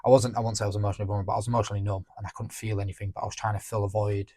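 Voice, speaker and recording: A young man talking candidly on a podcast, reflective and halting, often restarting his sentences. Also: on the low side, flat delivery, dark tone, no room sound, studio quality. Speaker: podcast co-host. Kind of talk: candid emotional conversation.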